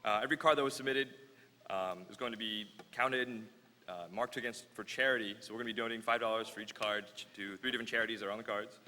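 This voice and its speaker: A man's voice: medium pitch, bright, forceful tone. A man representing a sponsor announces a raffle winner, hesitant and full of fillers. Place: large conference hall. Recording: reverberant hall with faint noise.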